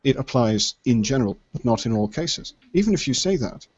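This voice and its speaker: An Irishman with a posh-sounding accent, speaking in a measured, hesitant way and stammering when pressed. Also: medium pitch, expressive, a little mellow. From Ireland. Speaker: debate participant, left activist. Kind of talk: online voice-chat debate. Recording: dull lo-fi voice chat audio.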